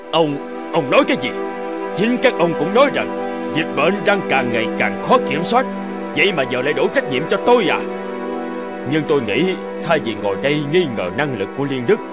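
Cartoon drama man, mid-pitched, very animated, emphatic, formal, music behind. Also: fairly quick. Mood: indignant. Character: cartoon men in a tense medical drama.

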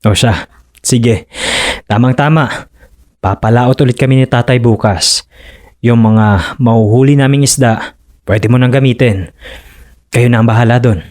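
A Filipino man playing a remorseful, gentle fisherman husband in a radio drama, speaking haltingly and earnestly. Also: mid-range voice, natural voice. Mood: agreeable.

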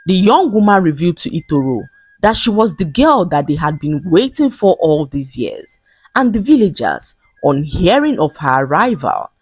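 Low-voiced Nigerian woman, animated folktale storyteller. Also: husky, no room sound. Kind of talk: scripted folktale narration.

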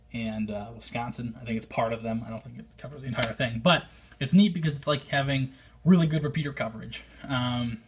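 A man with an American accent and a husky, slightly rough voice at a middle pitch. He talks off the cuff like a hobbyist reviewing gear at home, working through his thoughts as he goes and softening his opinions. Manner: rambling, thinking aloud, hedging opinions. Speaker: amateur radio hobbyist, vlogger. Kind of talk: casual unscripted review to camera.

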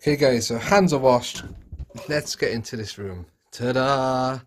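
A man's voice: medium pitch, unhurried. A man in his thirties narrating a room tour in a casual, chatty, off-the-cuff way, recorded on a handheld phone at home. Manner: chatty, off-the-cuff, self-correcting. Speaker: vlogger, collector. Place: small rooms at home, indoors. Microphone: handheld phone, held by the speaker.

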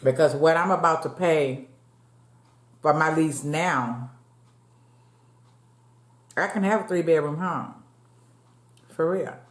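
A woman's voice: sits low, slow pace, pauses often. Late-50s woman chatting n eating on cam at home, roomy echo. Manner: chatty, talks to viewers as y'all, eating between words. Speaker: mukbang vlogger. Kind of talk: casual chat while eating. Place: dim room at home, seated at a table. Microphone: fixed camera across the table.

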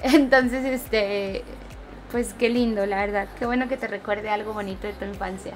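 A woman's voice: medium pitch, fairly even intonation, moderate pace, music in the background. A woman in her twenties with a Mexico City accent, chatting casually with viewers during a live game stream on a headset. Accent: Mexico City Spanish. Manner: chatty, reads and answers viewer comments while gaming. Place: home streaming room, indoors.

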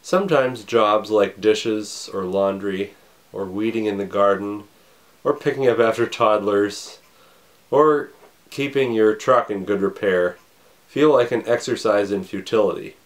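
A man in his thirties, a pastor, preaching a recorded sermon from his home study with a scratchy voice and a slight cough, earnest and measured. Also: on the low side. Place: home study with bookshelves, indoors.

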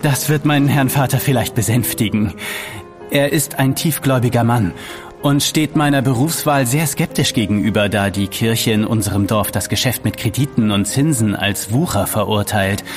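An actor playing an ambitious, deferential young apprentice bookkeeper in an audio drama, earnest and polite. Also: male voice, mid-range voice, moderate pace, over music, lightly acted. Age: young adult. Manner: earnest, polite, formal diction. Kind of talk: German audio drama acting.

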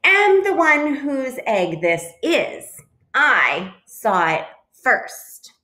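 A woman's voice: high-pitched, big pitch swings, very slow, fairly bright tone, reverberant, voice pushed up, exaggerated voice. A woman voicing a huffy, bossy male duck from a storybook, indignant and emphatic. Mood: proud, possessive. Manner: exclamatory, scolding, emphatic.

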